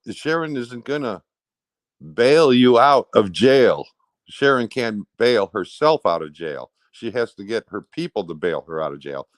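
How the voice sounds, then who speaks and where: A man's voice: fairly low pitch, dry recording. An American man in casual conversation, talking in a blunt, rambling way. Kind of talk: casual chat.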